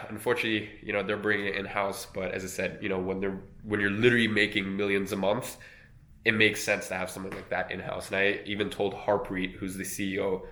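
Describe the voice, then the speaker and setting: A man's voice: low-pitched, pushed and bright. Nasal young man with a cold. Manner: conversational, many fillers and restarts. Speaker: agency owner and online business educator. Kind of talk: casual talk to camera. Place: room at a desk with an editing screen. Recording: echoey room.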